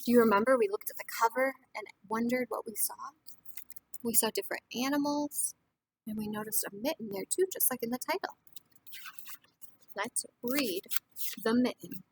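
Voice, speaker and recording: A woman reading a picture book aloud as a teacher of young children, pausing for simple explanations and questions to the listeners. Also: fairly high pitch, a little hiss or hum, low-quality recording.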